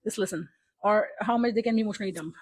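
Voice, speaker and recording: A woman from Pakistan whose first language is Urdu, chatting to camera at home in an exasperated, animated vlog style and laughing often. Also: medium pitch, rapid-fire, slight background noise. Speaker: vlogger. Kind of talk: casual vlog chat to camera. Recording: music playing faintly behind.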